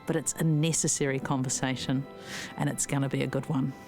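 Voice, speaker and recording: A woman hosting an interview, candid and gentle in her questions. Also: a bass voice, level tone, fairly quick, soft background music. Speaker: interview host.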